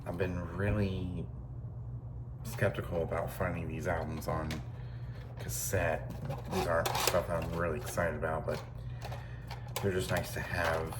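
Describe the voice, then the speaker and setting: A man's voice: deep voice, unhurried, long pauses. A man in his thirties giving a casual, unscripted show-and-tell in a rambling, repetitive, matter-of-fact way. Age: 30s. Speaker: vlogger showing thrift store finds. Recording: clear steady background noise, amateur recording.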